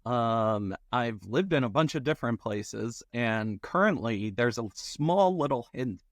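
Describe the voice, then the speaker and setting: A man's voice: mid-range voice, a little slow, no room sound, studio quality. Joking man from New York State. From Rochester, New York. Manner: joking, relaxed. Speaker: venture capital guest. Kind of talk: casual interview chat.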